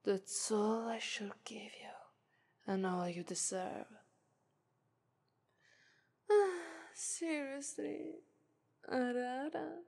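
A woman's voice: medium pitch, a little mellow. A woman voicing an arrogant, seductive female demon of lust in a whispered ASMR roleplay, with condescending, teasing taunts. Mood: menacing. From Italy. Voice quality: breathy whisper, slow and teasing. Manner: condescending, purring "ara ara" taunts. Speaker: voice actress.

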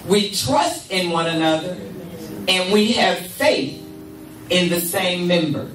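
An older woman giving a measured, deliberate address at a church lectern, holding a handheld microphone. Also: fairly low pitch, lively intonation, fairly bright tone, soft background music. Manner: measured, deliberate, with congregation responses. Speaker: church speaker. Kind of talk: spoken address at a church service. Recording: echoey room, camera far off.

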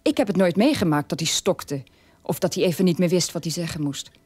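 An actress playing a sober middle-aged daughter of a war criminal, telling her past in a measured radio-play monologue with a husky voice. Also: lowish voice, expressive, a little mellow, no echo. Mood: sober, reflective.

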